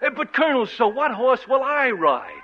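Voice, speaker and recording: An actor playing a naive, eager young army private who giggles and gushes, in a 1940s radio comedy. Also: male voice, very high-pitched, wildly swooping pitch, moderate pace, heavily acted. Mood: giggly, eager. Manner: giggly, goofy, gushing. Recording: dull old lo-fi radio recording with faint hiss.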